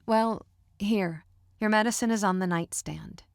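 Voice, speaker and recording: A woman voicing a middle-aged mother who talks in a soft, caring, doting way. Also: mid-range voice, measured pace, no room sound, studio quality, pitched above the performer's own voice, close to an everyday voice.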